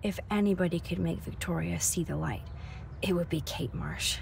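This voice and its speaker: A woman acting a caring, nerdy young student photographer in a video game, hushed and supportive, quietly determined. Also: lowish voice, moderate pace, vocal fry, slight background noise. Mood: supportive, thoughtful. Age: young adult.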